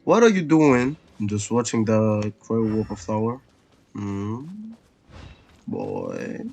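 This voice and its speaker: A man's voice: fairly low pitch, very animated, takes it slowly, vocal fry, a little mellow. A man hosting a let's play, giving casual, offhand reactions in short muttered remarks. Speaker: gaming video host.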